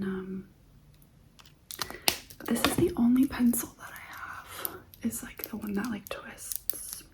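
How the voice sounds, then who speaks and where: A woman hosting ASMR in a breathy whisper, talking gently and directly to the listener and repeating phrases. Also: medium pitch, poor audio. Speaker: ASMR host.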